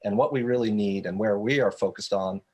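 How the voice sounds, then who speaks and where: A man with a husky, somewhat low voice speaks on an online panel. His phrasing is formal and sounds prepared, like an official statement from a director. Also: a little fast, a little mellow. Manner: formal, jargon-heavy phrasing. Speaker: panelist, economic development director. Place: video call.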